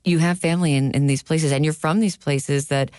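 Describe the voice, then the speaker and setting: A woman's voice: deep voice, steady tone, a little fast, darkish tone, dry recording, clean studio sound. A woman hosting a broadcast radio interview, asking questions and introducing a guest.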